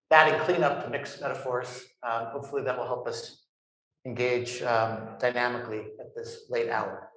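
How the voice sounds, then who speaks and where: Wry rabbi moderator in an echoey hall. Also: male voice, medium pitch, a bit bright, a little hiss or hum. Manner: wry, deliberate, playful metaphors. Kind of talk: panel moderation.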